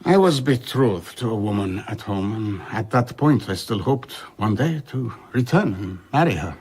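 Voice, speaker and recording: An actor playing an older man, a husky-voiced traveller reflectively telling of his youth in a radio drama. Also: fairly low pitch, expressive, moderate pace, darkish tone. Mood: wistful. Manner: reflective storytelling.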